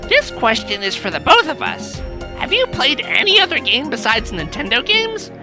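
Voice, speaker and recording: Young male cartoon monkey female performer, excitable wisecracker, extremely expressive pitch, clear background music. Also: moderate pace, heavily acted. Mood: chatty. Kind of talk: scripted comic cartoon dialogue.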